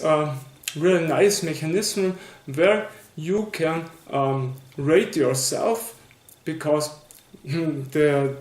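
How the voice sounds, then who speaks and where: Slow Austrian man with echo. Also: a little high. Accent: Austrian German. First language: German. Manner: hesitant, many fillers and restarts. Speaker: board game reviewer. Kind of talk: casual spoken review.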